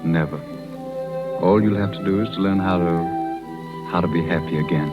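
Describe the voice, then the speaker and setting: A man's voice: sits low, steady tone, normal speed, lightly acted. An actor playing an earnest, lovesick man in a 1930s radio drama. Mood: tender. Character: earnest, lovesick man pleading for his beloved. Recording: muffled 1930s radio recording, loud music.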